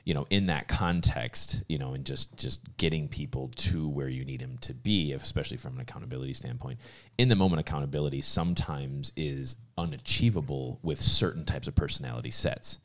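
Very deep, fast-talking man. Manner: rambling, many "you know"s. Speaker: veterinary podcast co-host. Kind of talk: casual podcast discussion. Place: home room, indoors. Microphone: studio mic on boom arm, headphones.

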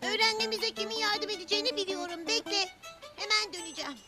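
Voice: extremely high pitch, fairly even intonation, fast talker. An actor voicing a young, cheerful, polite cartoon character who explains things step by step in a children's show. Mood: eager. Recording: music playing behind the voice.

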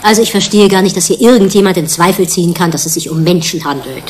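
An actress playing a brisk, earnest researcher in a German radio play. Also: female voice, lowish voice, natural voice. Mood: indignant.

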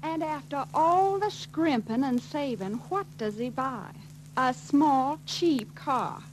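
An actress playing a chatty, sly young beauty parlor operator in 1940s film style. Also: female voice, high-pitched, expressive. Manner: drawn-out, knowing gossip. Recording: faintly noisy 1940s film soundtrack.

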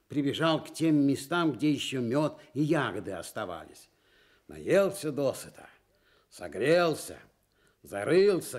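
A man narrating a children's fairy tale for radio as an expressive, scripted storyteller. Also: medium pitch.

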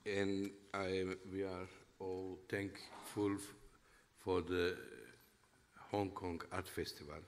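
A middle-aged man with a Turkish accent speaks in slow, halting English from a concert stage. His voice sits a little low and rings out in a long hall reverb, with faint noise underneath. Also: little pitch movement. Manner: halting, with pauses and fillers. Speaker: musician introducing the band. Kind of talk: onstage concert announcement. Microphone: stage mic from a distance.

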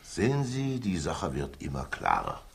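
An actor playing a sly, jovial, heavyset older state councillor in a 1960s radio crime drama, avuncular and theatrical, repeating himself, with a gravelly, husky voice. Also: male voice, low voice, wildly swooping pitch, unhurried, slight background noise, full character voice. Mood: assured, soothing.

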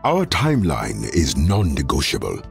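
Game villain boss: imperious warlord, very low, gravelly, music behind. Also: male voice, huge pitch swings, normal speed, exaggerated voice. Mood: stern. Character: imperious, demanding male crime-syndicate warlord.

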